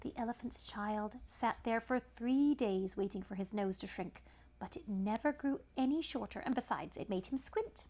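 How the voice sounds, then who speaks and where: A woman reading a children's story aloud in an animated style, with chatty asides to a young listener. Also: a little high, moderate pace.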